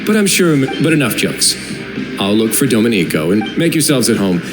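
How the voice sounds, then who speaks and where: An actor playing a composed, commanding authority figure in a fantasy video game, speaking in a measured, formal way. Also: medium pitch, very animated, lightly acted. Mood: smooth, wry. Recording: music under the voice.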